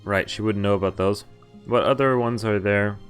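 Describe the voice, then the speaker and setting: A man's voice: fairly low pitch, steady tone, normal speed. A man streaming a game, reading its story text aloud casually, stumbling over the odd word and breaking off to comment. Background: game music and sound effects.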